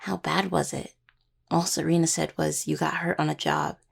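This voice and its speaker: An anxious young necromancer acted by a woman in an intimate audio roleplay, devoted and earnest. The voice is heavily creaky and husky, and the words come out hesitant and stammered. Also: low voice, fairly even intonation, moderate pace, heavily acted. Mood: worried, hurt.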